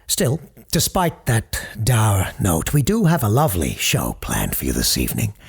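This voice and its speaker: A man's voice: fairly low pitch, huge pitch swings, normal speed, vocal fry, slightly rough, heavily acted. A man playing a courteous, grave parapsychologist who hosts a late-night paranormal radio show, speaking formally and with ominous understatement in an audio drama. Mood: courteous, wry.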